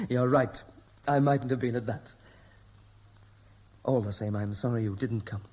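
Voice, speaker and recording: A man's voice: mid-range voice, slow, dark tone. An actor playing a wry, restless Welsh emigrant son home from America, laconic and teasing, his Welsh accent tinged with American, in 1960s radio drama style. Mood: dry, probing.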